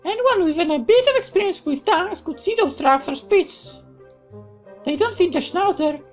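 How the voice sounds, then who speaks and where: A woman's voice: extremely high pitch, expressive, normal speed, faint music behind, voice pushed up. A woman voicing a bossy, teasing young girl in a visual novel, mocking and scolding. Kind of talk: dramatic reading of a visual novel.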